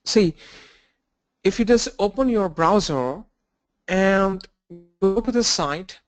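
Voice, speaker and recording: A man with a cold and a blocked nose, teaching an online training lesson with halting fillers and restarts. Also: sits high, expressive. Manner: halting, restarts and fillers, walks through screens. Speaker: instructor.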